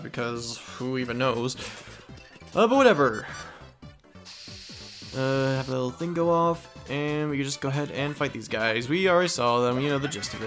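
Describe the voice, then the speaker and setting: A man's voice: mid-range voice, fairly bright tone. A man hosting a let's play with casual, goofy, rambling gameplay commentary. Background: video game music.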